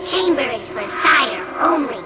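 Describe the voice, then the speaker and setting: A woman's voice: sits high, lively intonation, a little slow, roomy sound, recorded from a distance, over loud music. A woman voicing a tiny floating alien creature in a video game, insisting in clipped, childlike broken sentences. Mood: insistent. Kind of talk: video game cutscene acting.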